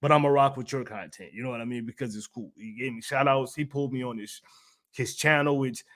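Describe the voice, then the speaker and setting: A man's voice: mid-range voice, brisk, fairly bright tone. A man hosting a gaming channel livestream, casually chatting and answering viewers in a slangy, playful, rambling way. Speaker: YouTube host of a gaming community channel.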